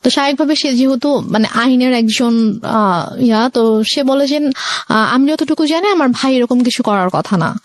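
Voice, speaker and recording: Young Bangladeshi woman with a higher, dry-recorded voice. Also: moderate pace, no room sound. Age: young adult. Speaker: show guest telling her life story. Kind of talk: personal storytelling, conversational.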